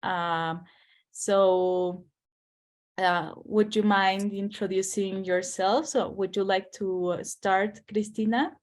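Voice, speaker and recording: A woman's voice: mid-range voice, steady tone, takes it slowly. A woman from Mexico City, informally moderating an author panel.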